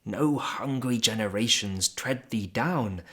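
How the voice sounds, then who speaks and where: Slow, solemn man reciting poetry. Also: mid-range voice. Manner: solemn, expressive, measured phrasing with pauses. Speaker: poetry reader.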